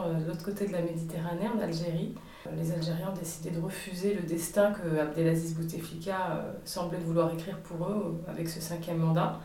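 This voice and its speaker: A woman's voice: medium pitch, darkish tone, husky. A woman hosting a podcast, reading scripted introductions and putting questions to a guest. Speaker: podcast host.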